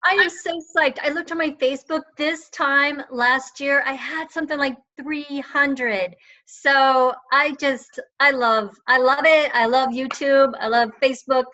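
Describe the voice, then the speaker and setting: A middle-aged woman with a high, bright voice, chatting eagerly and rambling with plenty of fillers. Her audio comes through a video call and sounds dull and lo-fi. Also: fairly even intonation, unhurried, few pauses. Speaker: guest, online reseller. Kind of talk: casual video chat.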